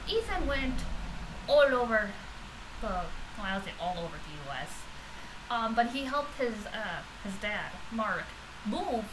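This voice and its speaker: A woman with a loud, fairly high voice rambles through a casual reaction vlog. She talks off the cuff, full of fillers and restarts. Steady background noise sits clearly under the recording. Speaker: vlogger reacting to podcast episodes.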